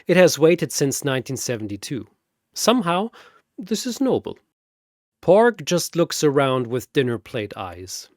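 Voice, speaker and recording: A man narrating a scripted comic fantasy battle in a theatrical, deadpan manner. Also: on the high side, measured pace, no room sound, studio quality.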